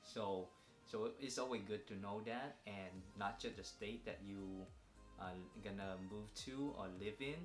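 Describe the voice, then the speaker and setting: A young man from Vietnam with a Vietnamese accent, co-hosting a casual advice vlog, talking haltingly with many fillers and restarts. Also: on the low side, roomy sound, faint music behind. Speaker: vlog co-host.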